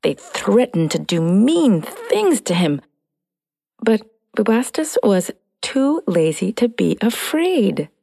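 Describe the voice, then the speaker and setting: A woman narrating a scripted children's story in a playful, expressive, unhurried storytelling manner. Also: mid-range voice, gravelly, no echo, in a large hall.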